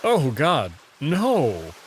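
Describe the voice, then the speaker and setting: A man's voice: on the high side, wildly swooping pitch, extremely slow, a little hiss or hum, full character voice. A man voicing a wary but considerate human hero in a visual novel, in a guarded conversational way. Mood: dismayed. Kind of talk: visual novel character reading.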